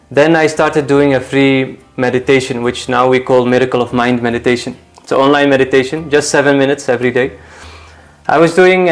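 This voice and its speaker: Young man explaining calmly. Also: medium pitch. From Iraq, raised in the Netherlands. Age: young adult. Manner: measured, reasoned explanations with rhetorical questions. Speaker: guest, full-time volunteer. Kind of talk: calm explanatory interview talk.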